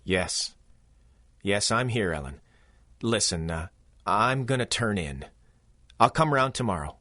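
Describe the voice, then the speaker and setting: A young 1930s police constable in a radio drama. He is subdued, troubled and apologetic, with halting, polite speech that moves widely in pitch. The recording is dry. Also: animated, sharp tone, dry recording. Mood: uneasy.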